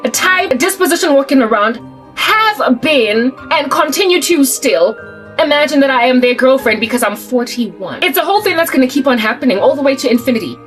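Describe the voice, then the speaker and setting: A woman's voice: high voice, animated, fairly quick, soft background music. A South African woman in her forties, talking casually to camera in an emphatic, colloquial rant that repeats and restarts words. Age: 40s. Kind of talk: casual ranting monologue to camera.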